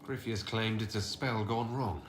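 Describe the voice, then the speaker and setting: A man's voice: fairly low pitch, fairly even intonation, normal speed, echoey room, slight background noise, close to an everyday voice. An actor playing a resolute, courteous male leader in a video game, speaking in a composed and formal way. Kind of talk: scripted video game dialogue.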